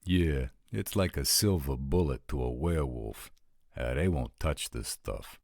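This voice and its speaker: A man's voice: rumbling low, unhurried, darkish tone, no echo, clean studio sound, exaggerated voice. A man playing a blunt, roguish supernatural scout who talks in casual street slang, in an audio roleplay drama. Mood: skeptical, cautionary.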